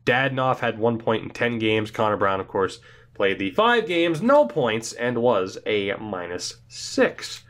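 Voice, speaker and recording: A young man hosting a gaming video, giving excitable, rambling let's play commentary on a hockey video game while congested from a cold. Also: mid-range voice, unhurried. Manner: excitable, rambling, thinks aloud.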